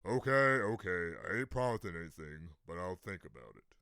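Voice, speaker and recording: A man's voice: low-pitched, animated, a little fast, bright, forceful tone, smooth, heavily acted. A man voicing a guarded, patient vigilante father who dotes on his child, in a comic dub. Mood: relenting.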